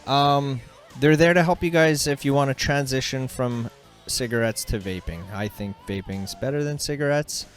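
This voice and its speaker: A man's voice: medium pitch, normal speed, soft background music. A Canadian man hosting a podcast, chatting casually in a laid-back, slangy way. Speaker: podcast host. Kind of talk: casual chat.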